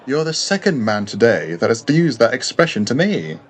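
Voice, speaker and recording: A man's voice: medium pitch, big pitch swings, normal speed. A sociable young man from a classic tale, acted out by a male narrator. He talks in a conversational, inquisitive way, as an old acquaintance would. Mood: musing, chatty.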